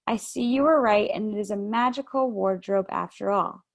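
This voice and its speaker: A woman's voice: medium pitch, normal speed, gravelly, natural voice. A woman reading aloud over a video call, voicing a sulky young boy who answers snappishly. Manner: snappish, defensive.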